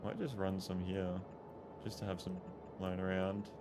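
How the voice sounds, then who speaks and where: A man's voice: deep voice, flat delivery, normal speed, music in the background. A young Australian man casually chatting and rambling while streaming a game, swearing now and then and answering chat.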